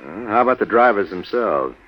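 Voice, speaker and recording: An actor playing a cool, wry private investigator in a 1940s radio drama, terse and American. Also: male voice, lowish voice, lively intonation, a little fast. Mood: businesslike. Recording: dull, noisy old radio recording.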